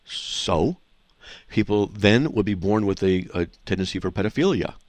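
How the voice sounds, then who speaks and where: A man's voice: on the low side, expressive, normal speed, a little mellow, dry recording. A man hosting a call-in radio show, explaining scripture to callers in an assertive, teaching manner. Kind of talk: call-in radio Bible answers.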